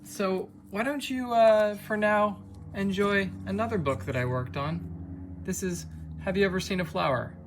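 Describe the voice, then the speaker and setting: A man, an author and illustrator, talking casually to the camera, halting with fillers. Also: high-pitched, background noise.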